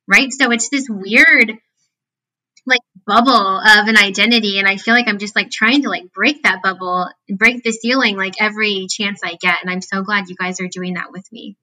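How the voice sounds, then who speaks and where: A woman in her thirties with a squeaky, nasal voice, chatting animatedly as an interview guest and using a lot of filler words. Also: poor audio. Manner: animated, talks with many fillers and acted-out quotes. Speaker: guest, fitness scientist. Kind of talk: casual interview chat.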